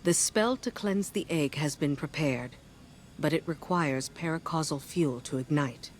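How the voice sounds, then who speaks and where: A woman's voice: sits low, unhurried. A wary female military commander briefs in a businesslike, wry way. It is dry, pragmatic game dialogue over faint background noise. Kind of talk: video game mission dialogue.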